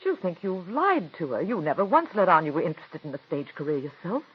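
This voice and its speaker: An actress voicing a worldly, frank middle-aged woman who also narrates, in a wry, crisp 1940s radio delivery. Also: on the low side, sing-song, quick pace. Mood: stern. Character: worldly female playwright's wife, wry and frank. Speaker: narrator and character. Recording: dull 1940s radio broadcast with faint music.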